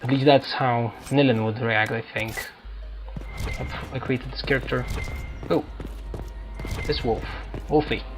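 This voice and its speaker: A man doing casual let's-play commentary on a roleplaying video game, musing and haltingly thinking aloud. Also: mid-range voice, fairly even intonation. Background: video game music.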